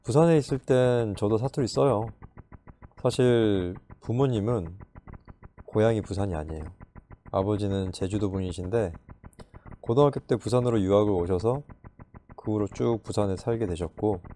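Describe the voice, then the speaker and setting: Man doing a young man, weary, hesitant, quiet, deep and dark-toned. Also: normal speed, close to an everyday voice. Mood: reflective.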